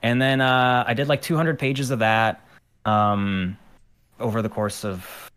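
A man's voice: mid-range voice, steady tone, measured pace, fairly bright tone, clean tone. A man from Ukraine, a guest on a podcast, chatting casually about his art career with lots of fillers and restarts. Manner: rambling, with many fillers and restarts. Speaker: guest, animator and musician. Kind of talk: casual interview chat.

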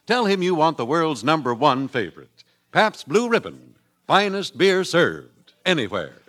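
A man's voice: fairly high pitch, big pitch swings, measured pace, fairly bright tone. A man with an American accent announcing and selling on 1940s radio in a hearty, emphatic style. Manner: hearty, emphatic sales delivery. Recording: vintage radio broadcast recording. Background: music.

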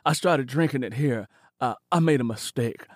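A man's voice: medium pitch, normal speed, no echo, studio quality, natural voice. A man voicing a big, strong man who stammers apologies in an audiobook. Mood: pleading. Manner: stammering, pleading.

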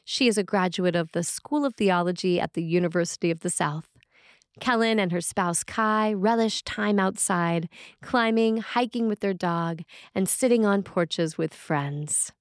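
A woman priest reading a scripted sermon in a measured, deliberate and solemn manner. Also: on the low side, dry recording, clean studio sound. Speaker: Episcopal priest reading a sermon written by a colleague. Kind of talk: read sermon, scripted narration.

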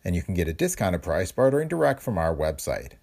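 A man's voice: low-pitched, big pitch swings, quick pace, creaky, a little mellow. A man hosting a podcast, reading scripted narration about its show. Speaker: podcast host.

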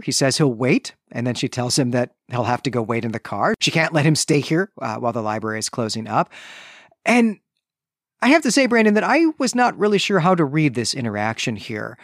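Measured man recapping fiction, dry studio sound. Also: medium pitch, no echo. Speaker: podcast co-host. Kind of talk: conversational literary recap and commentary.

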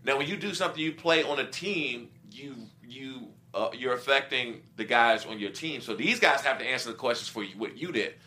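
A middle-aged man with a bright, forceful tone talks casually about football as a guest. He explains his views with examples and acts out quoted remarks, like a former player recalling his career. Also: mid-range voice, brisk, hardly any pauses. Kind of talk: casual sports talk.

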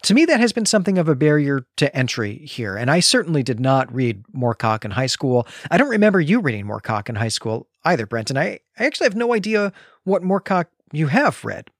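A man's voice: fairly high pitch, big pitch swings, fairly quick, dry recording, clean studio sound. An American man hosting a podcast, talking through a literary discussion in a conversational, explanatory and discursive way. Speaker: podcast host.

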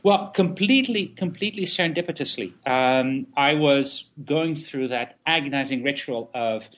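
Middle aged prof guy being interviewed, mid pitch, wordy, stops and starts, storytelling kinda style. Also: moderate pace, fairly bright tone, low-quality recording. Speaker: history professor and author, interview guest.

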